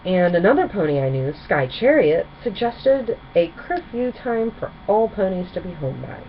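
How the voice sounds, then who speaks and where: Gentle pony princess mentor, acted by a woman reading a storybook. Reassuring, lowish voice, lively intonation. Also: normal speed, dull audio, a little hiss or hum. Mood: reminiscing.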